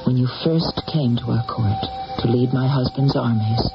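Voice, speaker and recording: A woman's voice: rumbling low, moderate pace, gravelly. An actress playing an earnest, formal widowed queen of ancient Britain, tender and in love, in 1980s radio drama style. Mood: loving, earnest. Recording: muffled old radio broadcast, music behind.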